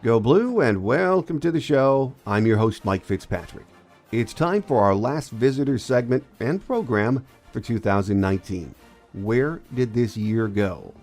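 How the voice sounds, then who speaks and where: A man's voice: mid-range voice, animated, unhurried, a little mellow, soft background music. A man hosting a podcast, giving scripted sports commentary while congested with a cold. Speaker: podcast host.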